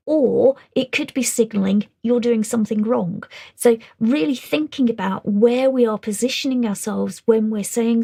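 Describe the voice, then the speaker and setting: A middle-aged woman presenting a webinar lesson, talking rapidly in a calm, fairly quiet voice. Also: a little high, creaky, slightly rough. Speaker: webinar presenter on special educational needs. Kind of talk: webinar lecture.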